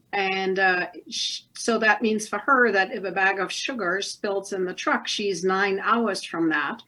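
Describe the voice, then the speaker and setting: A woman's voice: mid-range voice, a bit bright, poor audio. A woman from northern Germany with a German accent, speaking earnestly as a documentary producer in a casual interview over a video call.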